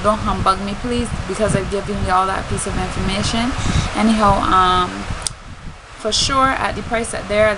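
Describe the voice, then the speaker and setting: An outspoken woman with a Bajan accent chats casually to her followers as a vlogger. Her voice sits at an average pitch and her delivery is emphatic. A clear layer of noise runs behind her. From Barbados. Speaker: singer and spoken word artist. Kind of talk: casual vlog talk to fans.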